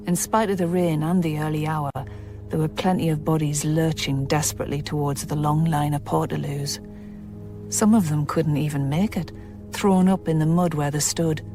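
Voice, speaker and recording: A woman's voice: low voice, dark tone, natural voice. An actress playing a down-to-earth, wry woman journalist in a radio drama, with a Northern English accent. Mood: wry narration. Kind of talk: radio drama dialogue and first-person narration.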